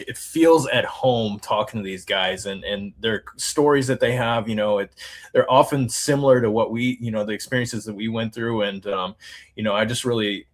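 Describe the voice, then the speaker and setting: A man in his forties hosting an interview, talking loosely and rambling with many fillers. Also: mid-range voice. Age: 40s. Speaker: host, Army veteran. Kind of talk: casual interview chat.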